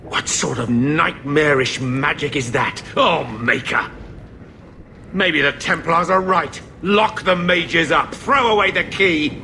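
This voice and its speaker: An actor playing a bitter, grieving middle-aged uncle in a video game, emotional and strained. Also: male voice, fairly high pitch, takes it slowly, sharp tone, slight background noise, full character voice. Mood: anguished, bitter.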